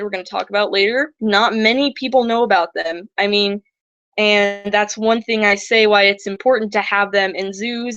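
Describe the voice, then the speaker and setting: Young woman with a raspy, shaky voice. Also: mid-range voice, fairly bright tone, no room sound, dull audio. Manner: chatty, rambling with many fillers and restarts. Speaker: livestream host. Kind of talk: casual educational livestream talk.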